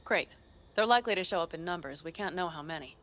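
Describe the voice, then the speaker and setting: A brisk, cocky mercenary. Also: female voice, lowish voice, expressive, fairly bright tone. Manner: brisk, commanding planner. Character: tough female fixer, confident and cocky. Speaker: mercenary ally. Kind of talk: video game dialogue.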